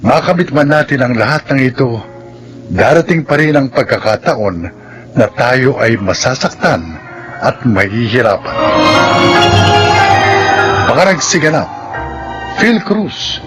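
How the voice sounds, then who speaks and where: A man's voice: mid-range voice, sing-song, unhurried, pauses often, music in the background. Filipino male radio drama narrator. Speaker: narrator and announcer. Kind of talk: scripted reflective narration and credits.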